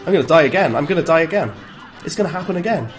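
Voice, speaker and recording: A man's voice: a little high, expressive, racing, faint music behind. Chatty Welsh man streaming a game. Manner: chatty, reacts aloud to the game, greets viewers by name. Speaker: live streamer. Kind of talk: live gameplay commentary and chat replies.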